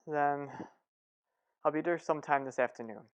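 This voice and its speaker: A man's voice: on the high side, normal speed, smooth. Muffled telephone voice: busy, polite hospital doctor for a daytime radio soap, male actor. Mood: businesslike, concerned. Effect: telephone filter. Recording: dull, band-limited phone-call sound.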